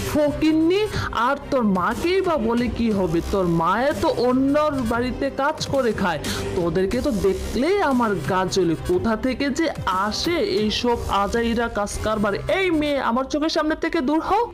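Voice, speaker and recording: Woman acting haughty, spiteful scolding mother, wildly swinging pitch. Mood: scornful. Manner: scornful scolding, threatening, insulting. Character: haughty, spiteful rich mother guarding her dragon fruit garden. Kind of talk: cartoon voice acting. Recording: loud music behind the voice. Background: loud music.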